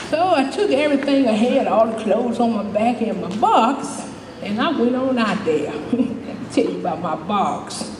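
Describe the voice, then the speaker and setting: A woman's voice: a little high, expressive, normal speed, natural voice. A woman playing a chatty, good-humored older homeless widow, telling her story in a folksy, rambling stage monologue. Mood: fond, chuckling. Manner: folksy, rambling, repeats "you know", chuckling. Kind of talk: dramatic monologue, storytelling to a live audience. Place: indoor stage performance, distant microphone. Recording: echoey hall from far off, faint noise.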